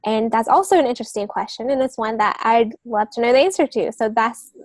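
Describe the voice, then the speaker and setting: A woman's voice: on the high side, brisk, a little mellow, no room sound. A woman speaking as a guest on a writing podcast, giving conversational advice in an earnest, explanatory way. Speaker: guest novelist and literary agent.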